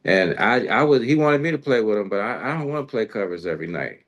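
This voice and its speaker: A man's voice: on the low side, lively intonation, fast. A man talking casually and colloquially as a music show host, rambling through his thoughts in slangy speech. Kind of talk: casual interview chat.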